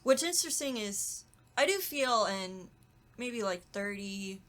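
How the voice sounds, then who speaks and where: A woman's voice: mid-range voice, lively intonation, unhurried, a bit bright. A woman on a podcast panel, musing conversationally in a casual chat.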